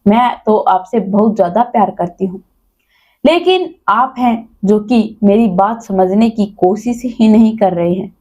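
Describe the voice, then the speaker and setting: A woman's voice: medium pitch, a little slow, warm tone, muffled recording, lightly acted. A woman voicing a deceitful wife who plays innocent and loving in a story, pleading and placating. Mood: placating, insistent.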